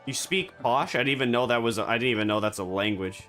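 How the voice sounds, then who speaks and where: Bright lively guy streaming, goofy deadpan rambling, soft game music behind. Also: medium pitch, quick pace. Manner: rambling, joking, absurd deadpan banter. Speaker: VTuber streamer. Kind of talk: casual gaming stream chatter.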